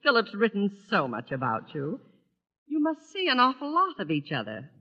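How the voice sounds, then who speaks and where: A woman's voice: medium pitch, wildly swooping pitch, normal speed. An actress playing a genteel, prim older mother in a vintage radio sitcom, gracious and effusive. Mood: chatty. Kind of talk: 1940s-50s radio sitcom acting. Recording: muffled vintage radio recording.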